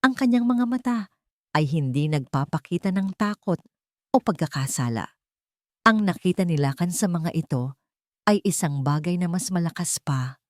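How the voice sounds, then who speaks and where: A woman's voice: fairly low pitch, expressive, moderate pace, no room sound, studio quality. A woman narrating an audio drama in a scripted, emotional and emphatic storytelling style.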